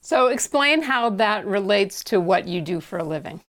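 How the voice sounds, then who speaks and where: A woman's voice: medium pitch, animated, moderate pace, a bit bright. A woman interviewing a guest, asking direct, brief questions.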